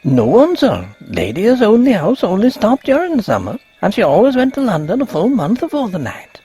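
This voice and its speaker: A man voicing a superstitious old peasant housekeeper, a stubborn woman with a husky high voice and rustic, foreboding speech in a ghost story. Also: huge pitch swings, normal speed, warm tone, faint music behind, heavily acted. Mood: warning, guarded. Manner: rustic dialect, guarded and foreboding.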